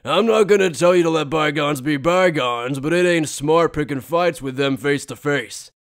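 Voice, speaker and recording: A man playing a brash, big-hearted gang boss in an anime fan dub, loud, informal and blustering. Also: fairly high pitch, moderate pace, lightly acted. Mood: brash, scolding.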